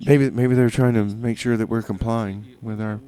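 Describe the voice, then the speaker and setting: A man's voice: medium pitch, fairly even intonation, mellow, smooth. Rambling, hesitant male radio DJ. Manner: hesitant, rambling, halting with repeated words. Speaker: community radio music show host. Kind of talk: casual radio DJ chat with deadpan comedy. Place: small community radio studio.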